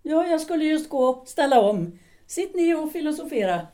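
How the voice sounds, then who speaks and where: An actress playing a bitter former-actress wife who speaks in cool, sardonic remarks. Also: female voice, high voice, big pitch swings, mellow. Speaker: actor in an audio drama.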